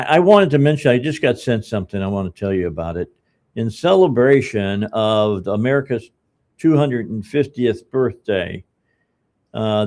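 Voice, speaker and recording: A man's voice: mid-range voice, a little mellow. A man in his seventies hosting a radio talk show, chatting casually and rambling with lots of restarts and fillers. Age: 70s. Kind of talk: casual on-air chat.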